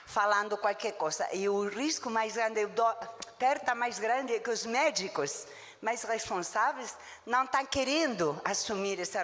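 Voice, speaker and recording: A woman's voice: mid-range voice, fairly bright tone. Emphatic woman lecturing. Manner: emphatic and blunt, with short punchy verdicts. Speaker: physician giving a conference lecture. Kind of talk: conference lecture. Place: conference hall. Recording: long reverb of a lecture hall.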